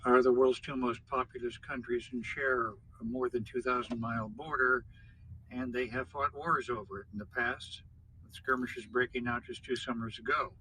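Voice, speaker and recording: Bright-voiced male news anchor. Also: medium pitch, fairly even intonation, slight background noise, low-quality recording. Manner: measured, formal. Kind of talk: scripted news read. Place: news broadcast heard over a car radio.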